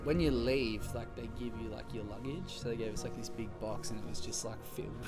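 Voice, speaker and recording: A man in his thirties, chatting casually as a podcast guest, full of filler words and quick laughs. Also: medium pitch, over loud music. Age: 30s. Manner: laid-back, lots of filler likes, laughs often. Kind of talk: casual chat.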